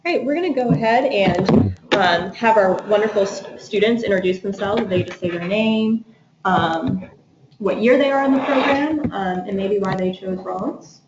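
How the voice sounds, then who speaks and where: A woman's voice: medium pitch, moderate pace. A woman moderating a webinar informally, handing over to other speakers. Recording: echoey, muffled, faint noise.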